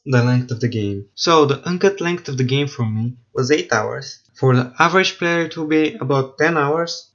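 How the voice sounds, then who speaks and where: Male game reviewer, mid-pitched, informal and opinionated, plainspoken voice-over on a somewhat dull lo-fi recording. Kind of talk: scripted-casual game review narration.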